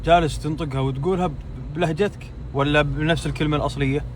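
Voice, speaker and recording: A man's voice: a little high, fairly even intonation, very fast, a little hiss or hum. A Saudi man arguing a grammar point with friends in a casual chat. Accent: Saudi Arabic. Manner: argumentative, explaining grammar.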